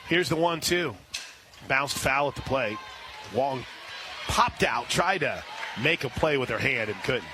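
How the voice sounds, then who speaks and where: A man calling live softball play-by-play on radio, filling the gaps between pitches with conversational detail. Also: expressive, measured pace, pauses often. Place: outdoor softball stadium broadcast position. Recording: clear outdoor ballpark ambient noise.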